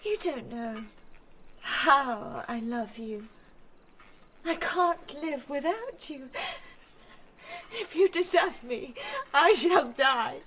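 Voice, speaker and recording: A woman's voice: sits high, animated, extremely slow, fairly bright tone, heavily acted. An actress playing a desperate, wounded married woman in a radio drama, speaking with emotional intensity to her lover.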